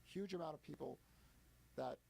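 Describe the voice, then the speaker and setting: Gravelly-voiced man in his forties. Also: on the high side, darkish tone, low-quality recording. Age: 40s. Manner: halting, many restarts. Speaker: guest analyst. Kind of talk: casual interview explanation.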